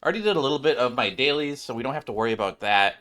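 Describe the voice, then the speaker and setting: A man hosting a gaming channel with casual, excitable commentary, often exclaiming and repeating his phrases. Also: mid-range voice, very fast. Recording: music behind the voice.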